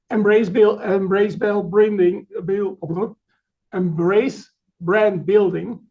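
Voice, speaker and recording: A middle-aged man from the Netherlands with a Dutch accent, giving a webinar presentation in a halting way, full of fillers and restarts. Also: high-pitched, a little mellow, muffled recording. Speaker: chief marketing officer presenting.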